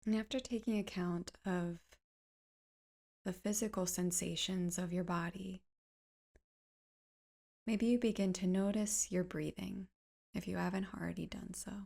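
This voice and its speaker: Slow, low-voiced woman guiding meditation. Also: steady tone, creaky. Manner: gentle, soothing, unhurried phrasing with pauses. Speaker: meditation guide. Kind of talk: guided meditation narration.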